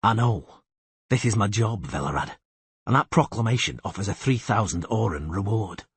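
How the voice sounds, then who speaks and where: Guy reading a calm terse monster hunter, low quiet clipped lines, husky. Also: normal speed, no echo.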